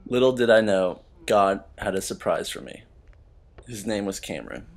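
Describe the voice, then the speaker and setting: A man's voice: fairly low pitch, expressive, moderate pace, gravelly. A young man giving an earnest, reflective voice-over about his own day. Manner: earnest, confessional. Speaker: fitness vlogger.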